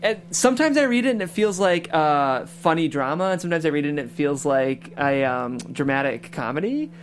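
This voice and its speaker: A woman talks quickly with a lively, varied pitch. She is a panelist in a casual discussion. Her questions come out informal and somewhat tentative.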